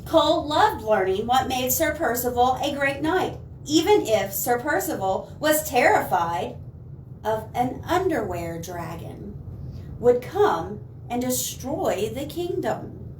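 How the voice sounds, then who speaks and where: A woman reads a children's story in a high, slow voice. She stresses words dramatically and drops in asides to her young listeners. The room rings around her, as if the mic is set some way off. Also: animated. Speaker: children's librarian reading picture books aloud. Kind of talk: animated storytime read-aloud for children. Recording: roomy, distant mic, faint noise.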